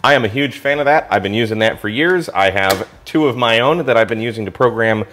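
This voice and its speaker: A man's voice: medium pitch, expressive, a little fast, fairly bright tone, a little hiss or hum. A man with an American accent giving a casual hands-on gadget review in an enthusiastic, colloquial way. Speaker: product reviewer.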